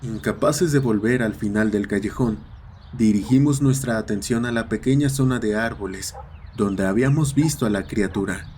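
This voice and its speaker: A man's voice: on the low side, moderate pace, a little mellow, a little hiss or hum. A man narrating a scripted horror story. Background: ambient sound effects.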